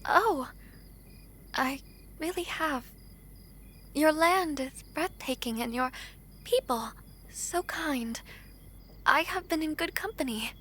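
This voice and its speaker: A woman playing a gentle, earnest young princess in a romantic audio drama, speaking softly in formal, hesitant phrases. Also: high-pitched, takes it slowly, long pauses. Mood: grateful, polite.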